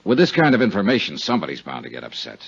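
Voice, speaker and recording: Low-voiced hard-boiled radio detective. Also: male voice, wildly swooping pitch, rapid-fire, vocal fry, husky, full character voice. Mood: businesslike, blunt. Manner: wry, blunt, clipped. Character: hard-boiled, world-weary male insurance investigator. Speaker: lead actor. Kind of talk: 1950s radio drama acting. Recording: dull 1950s radio recording, music behind.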